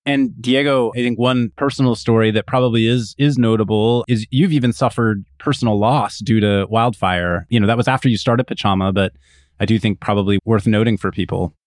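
A man's voice: fairly low pitch, steady tone, brisk, dry recording, studio quality. A man hosting an interview, talking conversationally as he moderates a discussion. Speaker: podcast host and moderator.

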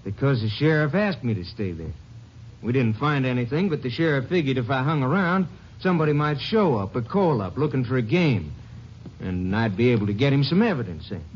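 An actor playing a crooked small-town deputy in a 1950s radio drama, blunt and defensive, with a Texan accent. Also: male voice, medium pitch, sing-song, fairly quick, husky. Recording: muffled old radio recording with faint hiss.

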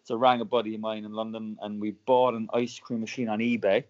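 A man from Cork with an Irish accent, telling his founder story as a podcast guest in a relaxed, chatty way. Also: lowish voice, fast talker, muffled recording. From Ireland. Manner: chatty, laughs often, many restarts. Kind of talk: casual podcast interview storytelling.

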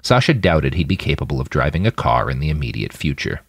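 Low-voiced, highly animated male storyteller. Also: fast, husky, no room sound, clean studio sound. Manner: dramatic, expressive storytelling. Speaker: fiction podcast narrator and author. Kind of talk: scripted audiobook narration.

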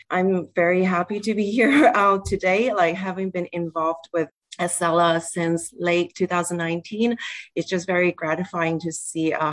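A woman speaks as a panelist at a professional event. Her voice sits a little low. She explains herself in a hesitant way, often pausing on small filler sounds. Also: a bit bright. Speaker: panelist, lawyer. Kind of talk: spoken panel introduction.

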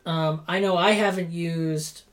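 A man co-hosting a podcast, with a soft, nasal voice and a hesitant, laid-back way of talking. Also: a little high, steady tone, measured pace. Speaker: podcast co-host. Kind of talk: casual chat.

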